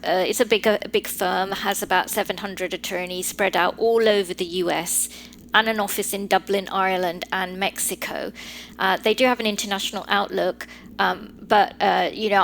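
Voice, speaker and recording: A woman in her forties with a London English accent speaks at a middle pitch, explaining things in a measured, advisory way with the odd filler. Her voice carries over a faint hiss of background noise. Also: gravelly, fairly bright tone, rough recording. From Britain. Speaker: guest lawyer. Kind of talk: podcast interview.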